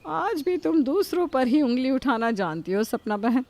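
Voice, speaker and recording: A woman's voice: high-pitched, very animated, moderate pace, a little mellow. A woman playing a taunting, gossipy middle-aged neighbour in a cartoon story, sarcastic and needling. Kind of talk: Hindi cartoon story acting.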